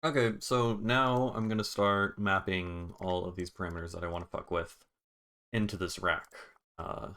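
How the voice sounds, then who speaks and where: A man with a fairly low voice talks through a music production tutorial. He is casual and offhand, informal in his wording, with the occasional easy swear. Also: flat delivery, normal speed, noisy background. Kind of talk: casual screen-recorded software tutorial.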